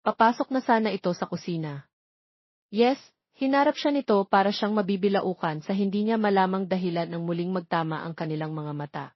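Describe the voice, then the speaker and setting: A woman's voice: medium pitch, brisk. A Filipino woman reading a scripted romance story as its narrator. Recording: dull, roomy, lo-fi audio.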